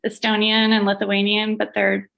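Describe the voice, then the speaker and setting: A woman's voice: mid-range voice, completely flat delivery, normal speed, gravelly, dull audio. A woman who learned Latvian at home as her first language, talking about herself in a casual interview with frequent little laughs and self-deprecating asides. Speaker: gallery owner and curator, podcast guest.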